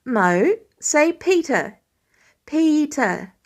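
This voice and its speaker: A woman's voice: high-pitched, sing-song, extremely slow, pitched above the performer's own voice, exaggerated voice. A woman voicing a cheery, stubborn teenage boy with an eager, informal way of talking, in a story reading. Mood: excited, doting. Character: cheery, stubborn sixteen-year-old boy doting on baby sister.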